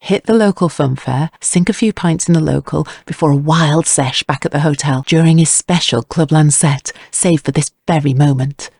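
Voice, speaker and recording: Male narrator, scripted crime fiction. Creaky, mid-pitched voice, close dry sound. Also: normal speed, hardly any pauses, a little mellow, no room sound. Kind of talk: scripted audio drama narration.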